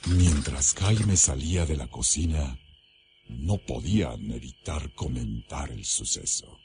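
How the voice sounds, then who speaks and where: A man's voice: extremely low pitch, lively intonation, slow, vocal fry, a little hiss or hum, full character voice. A man with a Mexican accent narrating a scripted horror radio drama in a solemn, suspenseful manner. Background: loud dramatic music.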